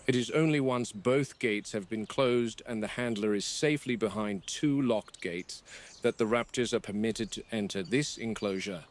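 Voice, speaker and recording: A man playing a serious game warden at a dinosaur park, recording a formal, measured, explanatory log. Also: on the low side, lightly acted. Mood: matter-of-fact. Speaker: animal handler. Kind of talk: scripted video log narration.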